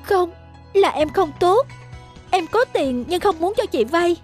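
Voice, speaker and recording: A woman's voice: extremely high pitch, expressive, unhurried, faint music behind. A woman voicing a remorseful young woman in a cartoon, pleading and earnest. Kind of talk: cartoon voice acting.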